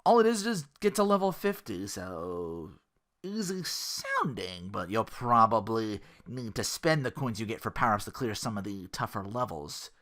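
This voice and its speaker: A man's voice: fairly low pitch, normal speed. A man hosting a podcast, giving casual, rambling gaming commentary with little acted-out asides. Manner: rambling, animated asides, acting out little quotes. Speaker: podcast host.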